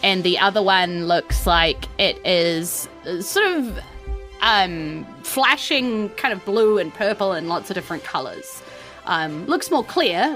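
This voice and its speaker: A woman's voice: medium pitch, a bit bright. A woman with a New Zealand accent, narrating a tabletop game as game master in an informal, conversational way. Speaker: game master, podcast host.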